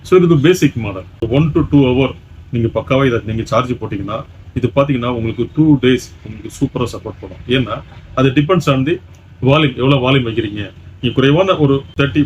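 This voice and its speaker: A man with a Tamil Nadu accent giving an emphatic, repetitive product sales pitch, dropping in English words as he presents. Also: a little mellow. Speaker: shop presenter selling electronics. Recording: dull lo-fi sound with faint background noise.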